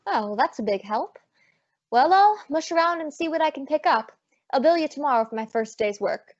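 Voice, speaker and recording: Young woman playing high-voiced wisecracking lady private eye. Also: lively intonation, a little fast. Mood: wry, breezy. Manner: breezy, wry. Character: wisecracking, spirited female private detective. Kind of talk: old-time radio detective acting with narration. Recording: dull lo-fi audio, very dry.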